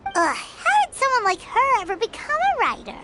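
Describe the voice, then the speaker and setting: A woman's voice: extremely high pitch, huge pitch swings, normal speed, background noise, heavily acted. A woman voicing a tiny, indignant fairy-like companion with a childlike, huffy manner in a cartoonish game style. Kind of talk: cartoonish video game voice acting.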